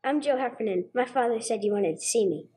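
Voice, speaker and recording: A woman's voice: on the high side, animated, fast, mellow, slightly rough. A woman playing an earnest, respectful young altar boy in an amateur radio drama, plain-spoken and a little hesitant. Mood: earnest, unsure. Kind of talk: 1950s radio drama acting, amateur church production.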